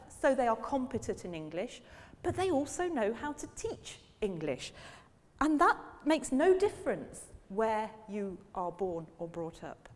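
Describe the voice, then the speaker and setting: A woman professor giving a public lecture on language teaching, asking the audience questions as she goes. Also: fairly high pitch. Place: lecture hall. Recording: long reverb of a lecture hall.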